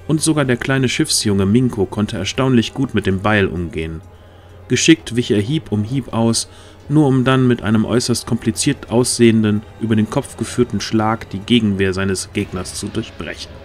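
A man narrates a fantasy audio play in a fairly low voice. It is scripted storytelling, with faint music running underneath.

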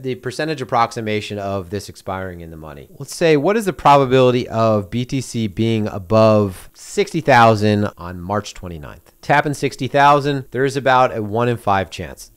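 A male crypto channel host, losing his voice after an illness, casually explaining a trading screen in a tutorial walkthrough, often adding "right?" and "obviously". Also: fairly low pitch.

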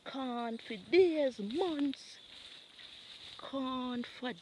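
A Jamaican woman vlogging on a farm, chatting in a lively, exclaiming way while filming on a handheld phone outdoors. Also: sits high, very slow, a little hiss or hum. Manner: lively, exclaiming, repeats catchphrases. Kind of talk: casual farm tour chat. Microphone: handheld phone camera.

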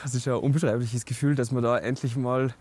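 A man's voice: medium pitch, steady tone, a little fast, darkish tone, a little hiss or hum. A young Austrian man, a wingsuit pilot, speaking in an outdoor interview with some fillers. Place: outdoors in snow.

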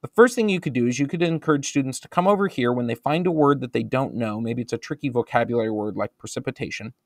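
A man with an American accent talks teachers through a screen-recorded tutorial at a medium pitch. He sounds relaxed and explanatory, dropping in ums and uhs as he clicks along. The recording is close and dry. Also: fast talker, no echo. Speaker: instructional technology trainer.

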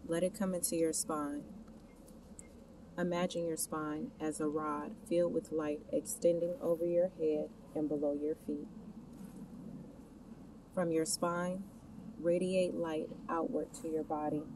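A woman leading a scripted guided meditation, giving the listener soft step-by-step instructions and questions. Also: flat delivery, a little slow, pauses often. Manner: instructional, addressing the listener with gentle imperatives and questions. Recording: distant mic, clear background noise, ambient rumble.

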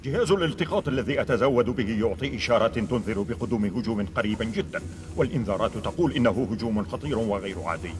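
Man as low-voiced commanding cartoon leader. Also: fast, natural voice. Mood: urgent. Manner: authoritative, formal. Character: commanding, level-headed male leader of heroes. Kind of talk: animated action series dub.

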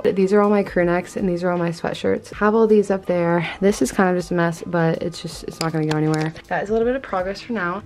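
A young woman vlogging casually to the camera from her college apartment bedroom, chatty and full of "like". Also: on the low side. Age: young adult. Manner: chatty, filler-heavy with frequent "like". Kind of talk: casual vlog talk to camera. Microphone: camera held at arm's length.

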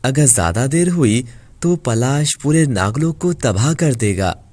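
Man acting a loyal cartoon serpent, worried, medium pitch, close dry recording. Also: animated, measured pace, a little mellow, no room sound. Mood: worried, resolute. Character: loyal, worried male serpent from a fairy tale.

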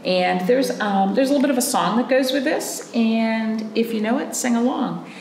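Female children's storytime host, higher-pitched and unhurried, putting encouraging questions to little ones; roomy sound. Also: a little mellow. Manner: simple, encouraging questions addressed to young children. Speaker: children's librarian hosting storytime. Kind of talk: children's storytime talk and picture-book read-aloud. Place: small indoor room, camera a few feet away. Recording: roomy echo, faint background noise.

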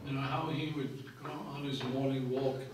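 An older man, a monk, telling anecdotes in an unhurried lecture, pausing for his interpreter, in a large festival tent. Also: mid-range voice, steady tone, recorded from a distance, noisy background. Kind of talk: storytelling talk. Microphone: stand mic. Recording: echoey hall, heard from afar.

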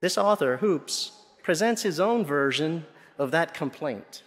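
A middle-aged man delivers a homily from the pulpit of a large church. His voice sits a little high for a man, and he speaks deliberately, pausing between phrases. The sound carries a long hall reverb. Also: expressive. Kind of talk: Sunday homily. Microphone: pulpit gooseneck and lapel microphone.

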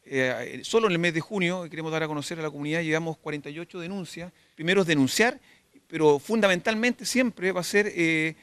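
A man in his forties with a Chilean accent, a police officer giving a formal, halting briefing. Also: fairly high pitch, a bit bright, clean tone, no room sound. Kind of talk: formal press statement with hesitations. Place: indoor police press briefing. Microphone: handheld press mics.

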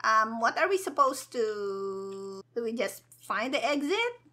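A woman's voice: fairly high pitch, big pitch swings, measured pace, bright, forceful tone. A woman streamer giving casual, playful gameplay commentary in a cheeky, hyper, giggly manner. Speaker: gaming streamer, virtual avatar host.

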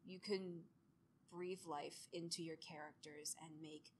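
A woman's voice: sits low, fairly even intonation, unhurried, husky. A woman in her early twenties giving an informal presentation as a student audio drama creator, rambling and self-deprecating. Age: early 20s.

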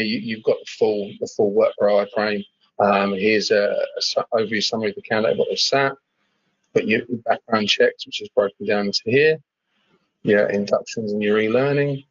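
A man giving an informal software walkthrough as a webinar presenter, hesitating and repeating words as he explains. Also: lowish voice, no echo, highs cut off. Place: screen-share webinar voice-over.

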